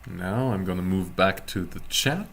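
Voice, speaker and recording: A man giving a casual live-streamed language lesson as a teacher, explaining word by word in a halting, deliberate way. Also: sits low, gravelly, fairly bright tone, slight background noise. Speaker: German teacher.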